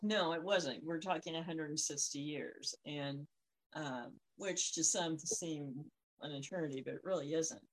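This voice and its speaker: A woman co-hosting a podcast, speaking conversationally with frequent ums and pauses. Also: low voice, low-quality recording. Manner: hesitant, lots of ums and pauses. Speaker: podcast co-host. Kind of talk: conversational discussion of folklore.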